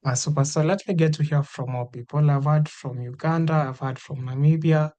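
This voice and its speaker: A man's voice: a little high, steady tone, fairly quick, mellow. A man hosting an online course meeting, inviting participants to introduce themselves with repeated encouragement and many fillers. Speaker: meeting host. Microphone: video call.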